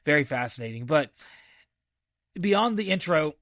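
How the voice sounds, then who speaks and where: A man hosting a podcast, casually reviewing a film in a halting, thinking-aloud way with enthusiastic, repeated emphasis. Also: fairly high pitch, expressive, fairly bright tone, no room sound, clean studio sound. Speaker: movie review podcast host.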